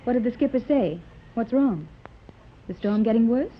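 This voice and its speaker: A woman's voice: fairly high pitch, animated, moderate pace, natural voice. An actress playing an anxious wealthy mother. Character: anxious wealthy woman seeking her daughter. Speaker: wealthy mother searching for her daughter. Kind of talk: 1930s radio drama acting. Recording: muffled old radio transcription with loud hiss.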